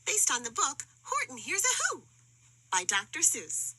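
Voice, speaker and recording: Very high, bright woman reading stories. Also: huge pitch swings, measured pace, dry recording. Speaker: storybook narrator. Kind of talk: expressive rhyming children's story reading.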